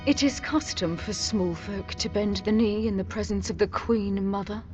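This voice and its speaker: A woman's voice: medium pitch, steady tone, normal speed, lightly acted. An actress playing an imperious, scornful queen mother in a fantasy game, cold and threatening. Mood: haughty. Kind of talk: dramatic fantasy game acting. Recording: muffled lo-fi, faint music behind.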